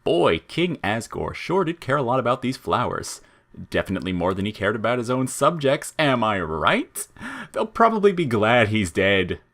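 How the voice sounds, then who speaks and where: A man's voice: medium pitch, very animated, normal speed, a bit bright, voice pushed up. A man voicing a spiteful, falsely chirpy talking flower in audio fiction, taunting and needling with whiny outrage and menace.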